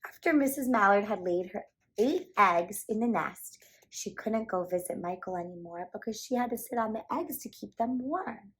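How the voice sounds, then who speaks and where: A woman reads a picture book aloud for young children. Her voice sits at a medium pitch, and she tells the story in an animated, emphatic style. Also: moderate pace, slight background noise, poor audio.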